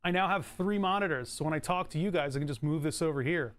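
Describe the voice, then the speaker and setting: A man streaming from his home setup, chatting casually in a rambling, self-interrupting way with a bright, trebly voice. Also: a little high, quick pace.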